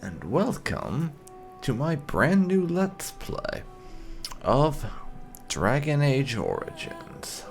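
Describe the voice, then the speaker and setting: A man hosting a gaming let's play, talking casually in deliberate, halting phrases. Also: a little high, wildly swooping pitch, vocal fry, faint music behind. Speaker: host of a gaming channel.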